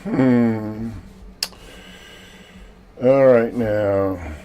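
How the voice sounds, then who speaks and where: A man hosting a livestream in casual chat, a self-described loudmouth with a big loud voice who jokes and greets viewers by name. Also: lowish voice, extremely slow, dull audio, slight background noise. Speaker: livestream host.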